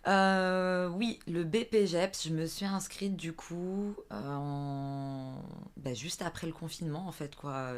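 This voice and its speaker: Low-voiced French woman in her thirties. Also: unhurried. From France, Vendée. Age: 30s. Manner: hesitant, many filler sounds and restarts. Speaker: guest, fitness coach. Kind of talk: casual interview chat.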